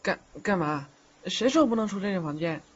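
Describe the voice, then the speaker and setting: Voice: medium pitch, expressive, a little fast, slightly rough. An actor playing a timid, naive, hungry teenage boy ghost in an audio drama, with a meek, hesitant manner. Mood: flustered. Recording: slightly dull recording with music behind.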